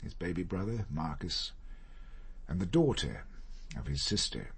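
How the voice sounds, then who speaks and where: Radio drama role: proud, guilt-ridden older gentleman, low and very husky, formal, deliberate delivery. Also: very animated, exaggerated voice. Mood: measured, confessional.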